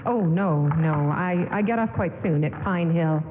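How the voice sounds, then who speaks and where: A woman's voice: on the low side, a little slow, close to an everyday voice. A woman playing a shy, polite young traveler with a hesitant, flustered manner in a 1940s-style radio drama. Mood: shy, pleased. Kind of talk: 1940s-style radio drama acting before a live audience. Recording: echoey hall, narrow AM radio sound, noise.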